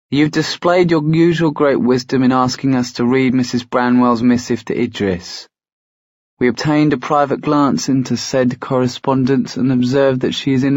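A man voicing a young woman in a storybook who reads aloud in a formal, deliberate manner. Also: medium pitch, darkish tone, pitched above the performer's own voice, natural voice. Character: young woman reading a pompous letter aloud. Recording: roomy echo, slightly dull sound.

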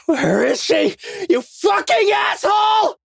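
A man voicing a desperate, foul-mouthed trapped hero in an audiobook, panicking, pleading and shouting. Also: very high voice, very animated, drawn-out words, vocal fry, breathy edge, no room sound, studio quality, pitched above the performer's own voice, heavily acted. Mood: frantic.

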